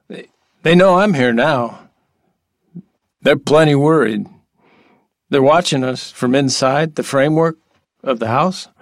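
Slow, swooping, dreamy odd handyman. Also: fairly high pitch, dry recording, clean studio sound, full character voice. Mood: deferential, earnest. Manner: earnest, halting, childlike wonder, deferential. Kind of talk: intimate audio drama acting.

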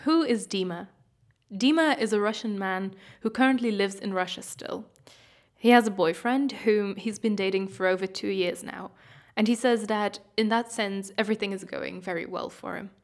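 A woman with a mid-pitched voice gives scripted documentary narration. She explains background in a read-aloud way. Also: normal speed.

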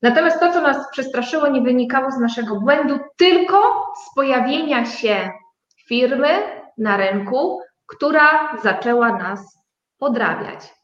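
A woman guest telling her company's story in a conversational interview, speaking haltingly with drawn-out hesitations. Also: sits high, fairly bright tone. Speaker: guest, start-up co-owner. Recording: dull, roomy sound.

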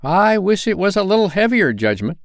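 A man's voice: high-pitched, big pitch swings, normal speed, no echo, studio quality, pitched above the performer's own voice. A man voicing a bold, defiant Victorian charwoman with a brash, scornful way of talking.

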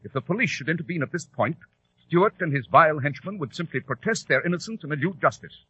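English gentleman detective, very husky, swooping pitch, British accent, formal clipped diction, old radio drama. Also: lively intonation, fast talker, exaggerated voice. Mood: confident, urgent. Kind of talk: 1940s radio drama acting. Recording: muffled vintage radio broadcast.

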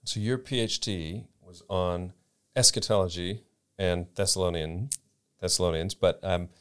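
A man hosting a podcast interview, measured and welcoming as he introduces guests and asks questions. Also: low voice, steady tone, a bit bright, clean tone. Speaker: podcast host.

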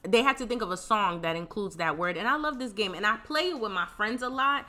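A woman's voice: mid-range voice, lively intonation, brisk, bright, forceful tone, soft background music. A woman in her thirties hosting a podcast, sounding congested and talking in a chatty, laid-back way. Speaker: podcast host. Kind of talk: casual podcast chat.

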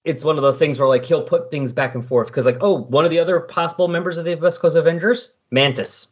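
A man with a husky voice chatting casually on a podcast, explaining and recapping in a rambling way. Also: on the high side, fast. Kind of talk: casual comic book discussion. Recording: dull lo-fi voice recording.

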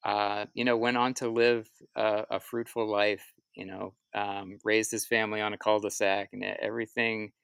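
Low, creaky, smooth-voiced man reflecting. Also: level tone, fairly bright tone, dry recording. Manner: halting, reflective, self-repeating. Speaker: musician, interview guest. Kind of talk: casual interview answers, storytelling.

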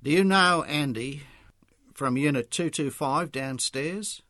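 A man voicing a motherly older Australian woman, a sad and gentle neighbour in a story. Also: mid-range voice, normal speed, no room sound, studio quality. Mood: motherly, wistful. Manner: wistful, gentle, reluctant.

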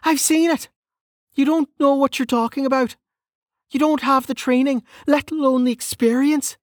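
A tough female watch officer, acted by a narrator, high-voiced, brusque and forceful. She talks like a seasoned fighter with no patience, in a fantasy audiobook with a dry sound. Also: fairly even intonation, normal speed, no echo, natural voice. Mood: stern, dismissive.

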